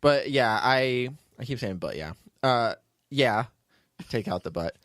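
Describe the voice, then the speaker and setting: A man's voice: medium pitch, animated, measured pace, fairly bright tone, no echo, clean studio sound. A man hosting a casual behind-the-scenes podcast chat in a rambling, joking, self-deprecating way. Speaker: podcast host, writer and producer of an audio drama.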